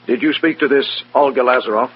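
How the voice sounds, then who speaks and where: Actor as dry official on muffled old radio. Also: male voice, mid-range voice, fast, slightly rough, natural voice. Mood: skeptical. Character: dry, skeptical male official, curt and formal. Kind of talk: 1950s radio drama acting. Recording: dull, noisy vintage radio recording.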